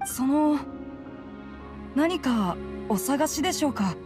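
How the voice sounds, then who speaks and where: A shy, courteous boy from a video game, high and very animated. He is hesitant and apologetic, with music behind him. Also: takes it slowly. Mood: flustered, polite. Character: timid, polite, eager-to-help young boy scout. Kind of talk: Japanese game voice acting.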